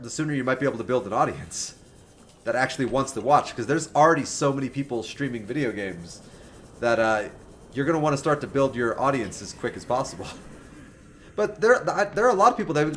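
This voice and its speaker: A man in his twenties chatting casually with viewers while livestreaming a video game, joking and animated. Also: medium pitch, slight background noise. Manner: animated, joking, talks to chat by name. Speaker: game streamer.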